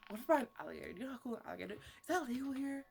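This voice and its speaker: A woman playing a chatty, easily exasperated botanist who mothers her plants in an improvised ASMR roleplay, talking in a halting, casual, playfully scolding ramble. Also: on the high side, very animated, gravelly, a little mellow, a little hiss or hum, pitched above the performer's own voice. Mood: playfully indignant.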